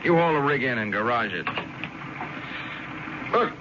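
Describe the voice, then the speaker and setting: An actor playing a bitter, secretive ex-convict in a 1970s radio drama. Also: male voice, medium pitch, expressive, a little slow, lightly acted. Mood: weary, confiding. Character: bitter male ex-convict football player, truck driver, secretive. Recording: muffled, noisy 1970s radio broadcast.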